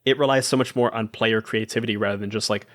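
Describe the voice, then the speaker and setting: A man's voice: lowish voice, steady tone, quick pace. A man chatting casually and eagerly as an enthusiastic guest player on a game podcast. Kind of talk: casual chat.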